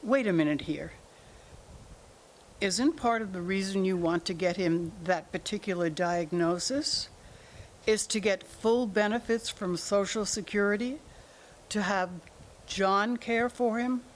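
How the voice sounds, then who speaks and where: A woman's voice: mid-range voice, unhurried, natural voice. Woman acting a defensive mother in denial, noisy room. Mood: insistent. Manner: deflecting, argumentative, talks in analogies. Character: defensive, evasive older mother in denial about her son. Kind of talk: staged reading of a drama.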